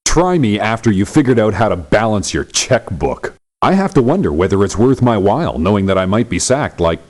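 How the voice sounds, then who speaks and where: An actor playing a brash, sarcastic mercenary locksmith in a video game, with a scornful way of talking. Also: male voice, lowish voice, darkish tone. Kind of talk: video game character voice lines.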